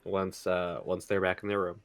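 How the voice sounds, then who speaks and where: A man's voice: sits low, little pitch movement, normal speed. A man describing his character's actions in an improvised tabletop roleplaying game, hesitant and searching for words.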